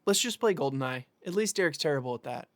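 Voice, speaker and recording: Calm, lightly pitched young guy played by a man. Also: fast. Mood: casual. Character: calm, curious young man among haunted housemates. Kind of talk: naturalistic audio drama dialogue. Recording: music under the dialogue. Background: music.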